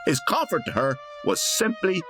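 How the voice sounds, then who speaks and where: A male narrator with a wry, theatrical delivery. His fairly high voice swoops dramatically through scripted comic lines over loud music. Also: very animated, moderate pace. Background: musical score.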